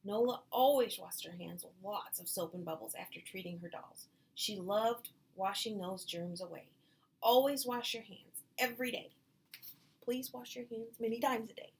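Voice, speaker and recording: A woman's voice: mid-range voice, normal speed, gravelly. A woman reading a children's storybook aloud, adding small explanatory asides, with some noise in the background.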